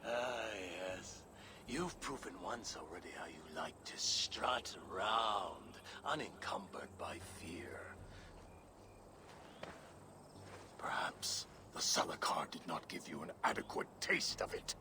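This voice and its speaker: An actor playing a cruel, fanatical villain in a video game, with a theatrical, taunting delivery. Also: male voice, sits low, animated, measured pace, noisy background. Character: menacing fanatical villain, cruel devoted zealot.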